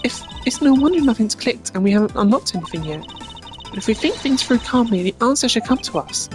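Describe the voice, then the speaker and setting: Woman acting young girl game sidekick, high pitch, perky, cheeky, teasing, informal, music in background. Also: lively intonation, a little fast, natural voice. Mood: helpful, upbeat. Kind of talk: hobby voice acting of a video game. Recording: music behind the voice.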